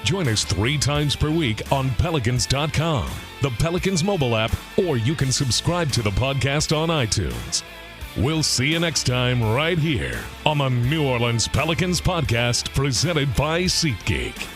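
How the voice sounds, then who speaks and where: A man's voice: medium pitch, very animated, a little slow. A man reading a scripted podcast outro as an announcer. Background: guitar music.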